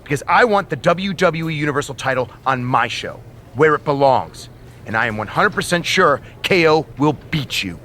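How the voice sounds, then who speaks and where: A scheming wrestling brand manager in a video game, smug and conspiratorial. His pitch moves a lot, with faint ambience underneath. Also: animated, normal speed, bright, forceful tone, slight background noise.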